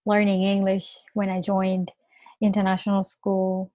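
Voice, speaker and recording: A woman's voice: mid-range voice, monotone, measured pace, dark tone, no room sound, highs cut off. A young woman, a native Japanese speaker with a Japanese accent, chatting casually as a podcast co-host. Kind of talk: casual chat.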